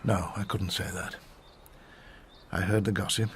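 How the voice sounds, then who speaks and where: An actor playing a genteel retired Victorian doctor, a widower, in a radio drama, speaking courteously and reflectively with pauses. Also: male voice, sits low, steady tone, a little hiss or hum. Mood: wistful.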